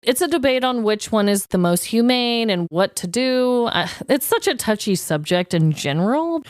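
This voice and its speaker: A woman with an American accent co-hosting a podcast, chatting casually in an expressive, exclamatory way. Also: a little high, normal speed, dry recording, clean studio sound. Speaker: podcast co-host. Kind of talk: casual banter and trivia chat.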